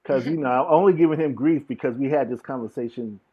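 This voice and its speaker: Husky man chatting earnestly, low-fi sound. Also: quick pace. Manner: earnest, informal slangy talk. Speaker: interview guest, DJ and music producer. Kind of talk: casual chat. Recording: dull lo-fi video call audio.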